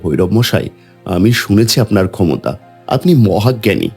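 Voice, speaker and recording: A man's voice: fairly low pitch, big pitch swings, moderate pace, creaky, a little mellow, breathy edge, faint music behind, voice pushed down, heavily acted. A man voicing a devout, anxious landowner father who speaks humbly and pleadingly in a cartoon drama. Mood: desperate pleading. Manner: reverent, emotional, pleading. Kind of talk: dramatic devotional cartoon dialogue.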